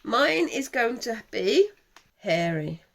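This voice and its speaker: A woman in her thirties with a croaky, failing voice, hosting a casual crafting vlog with her young daughter in a chatty, wry way. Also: fairly high pitch, very animated, takes it slowly. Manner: chatty, self-mocking, wry. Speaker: channel host, mother.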